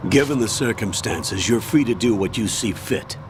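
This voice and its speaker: An actor playing a stern, authoritative older military colonel in a video game, commanding, measured and formal as he gives a mission briefing. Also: fairly low pitch, animated, background noise, lightly acted. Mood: formal, guarded.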